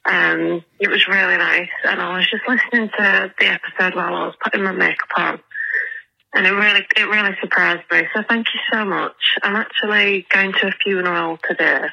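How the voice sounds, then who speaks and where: She is an English woman leaving a voicemail, her voice croaky and gravelly. The tone is very bright and sharp, and the sound comes through a phone line. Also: mid-range voice, steady tone, brisk. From England. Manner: warm, rambling, heartfelt thanks. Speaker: voicemail caller.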